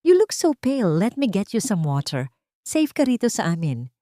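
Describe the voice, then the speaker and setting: An elderly village landlady from an audio drama, acted out by a woman narrator. She speaks softly and gently in a raised pitch, with motherly care and a soothing manner. The sound is dry and close. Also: big pitch swings, moderate pace, dry recording, natural voice. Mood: soothing concern. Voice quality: soft, gentle. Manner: soothing, caring. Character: kindly old village landlady, soft-spoken and motherly.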